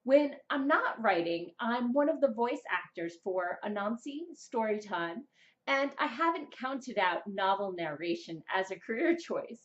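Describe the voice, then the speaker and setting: High-voiced young woman vlogger, slightly muffled. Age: young adult. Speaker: vlogger, writer and amateur voice actor. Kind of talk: scripted vlog talk on writing tips. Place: small plain indoor room, seated.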